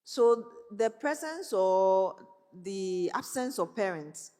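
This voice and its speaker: A woman's voice: mid-range voice, animated, slow. Woman, guest speaker, storytelling motivational talk, mid voice, live hall with long reverb. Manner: warm storytelling with laughs and faith references. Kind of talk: motivational talk to young people.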